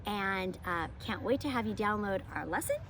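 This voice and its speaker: A woman speaks as a homeschool teacher presenting to young children. Her delivery is emphatic and storybook-like, with pitch that moves around in a lively way. Faint ambient noise sits behind her voice. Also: normal speed. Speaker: homeschool teacher hosting a read-aloud. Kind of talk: children's picture book read-aloud and lesson presenting.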